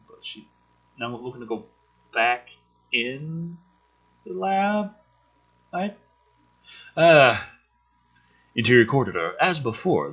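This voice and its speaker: Animated, forceful man with a rough edge. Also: medium pitch, lo-fi sound. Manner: animated, sardonic, profane. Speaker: host reading a film script aloud with commentary. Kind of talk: dramatic script reading with casual asides.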